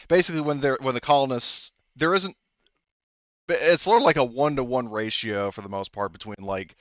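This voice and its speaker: Hesitant game master, a clear-voiced man. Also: fairly low pitch, fairly bright tone, dry recording, lo-fi sound. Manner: halting, rambling, self-correcting delivery. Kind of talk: tabletop game narration, improvised and conversational.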